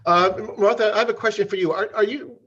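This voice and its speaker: A man interviews guests over a video call, his speech full of "uh" and doubled words. His voice sits fairly high for a man, and the audio comes through dull and lo-fi. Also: a bit bright, slightly rough. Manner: halting, many repeated words and fillers. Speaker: host.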